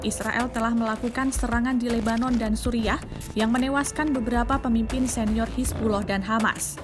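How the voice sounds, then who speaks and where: A woman with an Indonesian accent reads a scripted news explainer in a formal, measured manner. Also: a little high. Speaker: news narrator. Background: background music.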